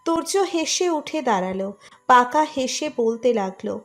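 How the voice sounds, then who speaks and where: A woman's voice: fairly high pitch, expressive, a little slow, a bit bright, soft background music. A woman reading a scripted romantic story as its narrator.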